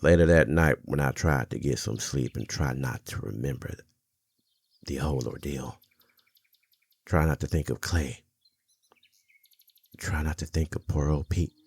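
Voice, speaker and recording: Male actor as haunted old small-town storyteller, slow drawn-out delivery, gravelly fry, gentlemanly, halting, close intimate ASMR drama. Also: dry recording, heavily acted. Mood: somber, haunted. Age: older. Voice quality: gravelly, husky, very deep. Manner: slow, halting, folksy phrasing.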